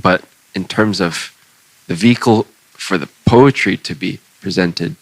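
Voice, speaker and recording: A young man, a poet speaking on a festival panel, measured and reflective, into a handheld mic in a large hall. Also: sits low, husky. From Singapore. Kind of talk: panel conversation. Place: large bright public hall indoors. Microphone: handheld microphone.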